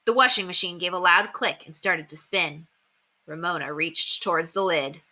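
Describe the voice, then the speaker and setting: A woman reading a children's book aloud in an expressive storytelling style, acting out the dialogue in an animated way. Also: fairly low pitch, normal speed. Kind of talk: expressive read-aloud narration.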